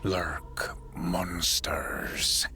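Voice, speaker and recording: An actor playing a grave, ominous narrator in a dark sea-adventure audio drama, brooding and hushed. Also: male voice, low voice, fairly even intonation, very slow, whispered, exaggerated voice. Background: loud music.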